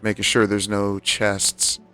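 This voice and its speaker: A man's voice: fairly low pitch, monotone, slow pace, sharp tone, soft background music. A man giving casual gameplay commentary as a streamer, thinking aloud as he goes. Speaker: game streamer.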